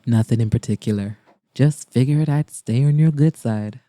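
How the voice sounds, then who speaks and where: Woman voicing a low, easygoing male charmer. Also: normal speed, mellow, dry recording. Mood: playful. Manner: playful, smooth-talking. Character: easygoing, teasing, flirtatious male saxophonist, adult charmer.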